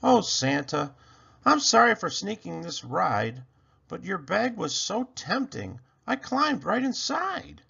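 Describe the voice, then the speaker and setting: Earnest young storybook mouse, voiced by a male reader: timid, bright, swooping pitch, slightly dull recording. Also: big pitch swings, takes it slowly, vocal fry, slightly rough, exaggerated voice. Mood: apologetic. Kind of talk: storybook character voice.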